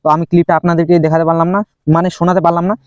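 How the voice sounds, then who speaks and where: A man hosts casually for his viewers. His voice sits a little high and moves fast. The sound is slightly muffled and lo-fi. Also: monotone, darkish tone, clear, resonant tone, no room sound. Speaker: host introducing a prank call clip. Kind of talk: casual vlog talk to viewers.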